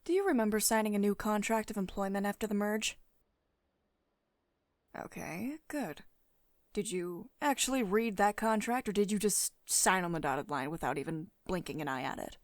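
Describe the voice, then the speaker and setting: A poised female CEO, acted by a woman in an intimate ASMR roleplay. She speaks at a medium pitch in a measured, commanding way, with teasing, slightly condescending affection. The sound is close to the microphone and very dry. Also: lively intonation, no room sound, lightly acted. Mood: firm, patient. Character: poised, teasing, mildly dominant female CEO of tech firm. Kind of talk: ASMR roleplay, intimate one-sided dialogue. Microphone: close studio-style microphone, dry voice-over.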